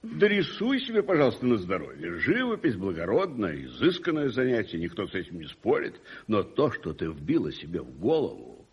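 An actor playing an opinionated, wealthy older lord in a radio play, speaking in a pompous, emphatic, declamatory way. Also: male voice, on the low side, huge pitch swings, a little fast, husky, heavily acted. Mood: emphatic, scoffing. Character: opinionated wealthy older English lord, teasing and protective godfather. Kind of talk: 1980s Soviet radio play acting. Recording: muffled 1980s radio drama recording.

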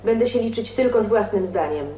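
A woman acting a defiant young woman in a radio play. Her fairly high voice is calm and resolute, heard echoing from a little way off. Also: fairly quick, lightly acted. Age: young adult. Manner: resolute, matter-of-fact. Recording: echoey, distant, faint hiss.

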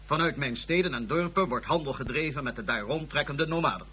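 A man's voice: fairly high pitch, brisk, sharp tone, slightly rough. An actor playing a haughty male Martian ruler, speaking in a formal, condescending way. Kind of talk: radio drama acting.

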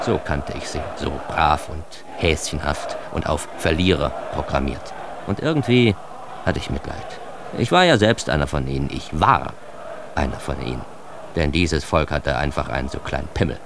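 A man's voice: extremely low pitch, background noise. An actor playing a cocky, self-mocking East Berlin man who tells his absurd story with mock-grand irony in a radio drama. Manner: ironic, mock-grand, addressing the listener. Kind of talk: first-person radio drama monologue.

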